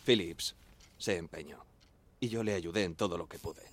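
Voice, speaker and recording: An actor playing an earnest young radio operator of the 1910s, narrating in the first person in a measured, plain way. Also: male voice, low voice, heavy background noise, close to an everyday voice. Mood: measured, reminiscing. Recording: music behind the voice.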